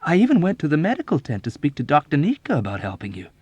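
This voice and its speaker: A male reader plays a sheepish army chaplain from a novel. The soft voice sits higher, plaintive and earnest, forever apologising and justifying itself, in a dry recording. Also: wildly swooping pitch, fast talker, slightly rough, dry recording, highs cut off, exaggerated voice. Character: meek, sheepish, unhappy military chaplain, plaintive and self-justifying.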